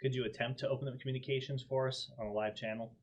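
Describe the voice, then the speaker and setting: A man's voice: medium pitch, steady tone, rapid-fire, dull audio. A man speaking casually as a player in a tabletop roleplaying game, describing his character's actions.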